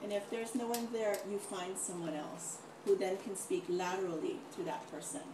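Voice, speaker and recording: A middle-aged woman speaking informally as a doctor on a panel, telling anecdotes in an animated way and acting out what people said. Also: medium pitch, normal speed. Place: large echoey room, event with audience. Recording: echoey, distant mic, noisy room.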